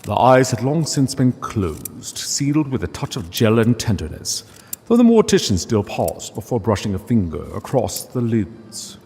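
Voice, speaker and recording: A man reading a literary story as narrator, solemn and deliberate, with dramatic pauses. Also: mid-range voice, big pitch swings, a little mellow, slightly rough, big-room sound.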